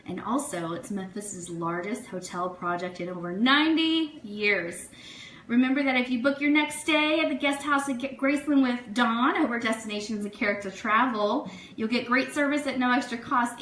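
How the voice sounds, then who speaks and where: A woman with a fairly high, bright voice hosts a live online fan show. She moves between chatty asides to viewers and announcements read from notes, in an upbeat way. The sound is echoey and picked up at some distance from the mic. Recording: roomy, distant mic with faint noise.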